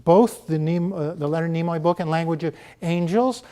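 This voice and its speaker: A middle-aged American man, a children's book author, speaking in an interview in an unhurried, thoughtful way, with many fillers. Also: on the high side, dark tone. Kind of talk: interview conversation about his book.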